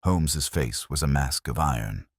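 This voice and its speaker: A man reading a scripted dramatic mystery story in a measured, grave manner. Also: rumbling low, animated, husky, no echo, clean studio sound. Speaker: audiobook narrator.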